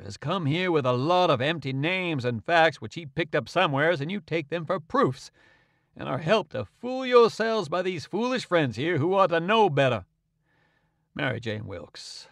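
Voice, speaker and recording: A man's voice: a little high, vocal fry, dry recording, clean studio sound. A man doing a stern, angry old doctor. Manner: indignant, forceful, accusing. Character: stern, angry older male town doctor.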